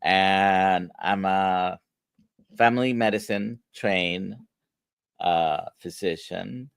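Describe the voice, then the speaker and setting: A man, a physician answering interview questions in a measured way with many hesitations. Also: low-pitched, creaky, clear, resonant tone, no room sound, clean studio sound. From Puerto Rico. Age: 60s. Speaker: physician guest.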